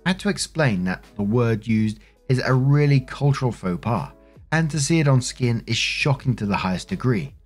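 A man narrating online stories in a steady, even reading voice. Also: medium pitch, normal speed. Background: faint music.

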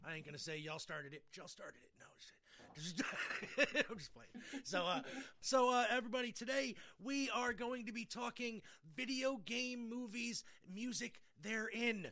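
High-pitched, bright American man hosting casually. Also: normal speed. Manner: jokey, rambling. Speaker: podcast host. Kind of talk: casual chat.

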